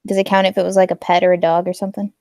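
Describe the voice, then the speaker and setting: A woman's voice: lowish voice, little pitch movement, very fast, darkish tone, no echo, clean studio sound. A young woman on a casual online chat show, chiming in with chatty, joking asides.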